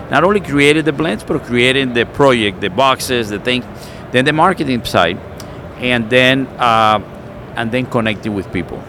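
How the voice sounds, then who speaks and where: A man's voice: mid-range voice, noisy background. A middle-aged man from Cuba with a Cuban Spanish accent, chatting casually and searching for words with many fillers. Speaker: cigar maker. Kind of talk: casual chat.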